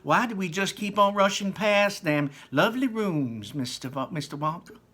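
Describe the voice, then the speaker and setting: A man's voice: high-pitched, lively intonation, moderate pace, bright, forceful tone, voice pushed up, exaggerated voice. A man voicing a spoiled, demanding young girl who whines and pushes in a storybook reading. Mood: whiny. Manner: whiny, pushy, slangy. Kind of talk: storybook read-aloud.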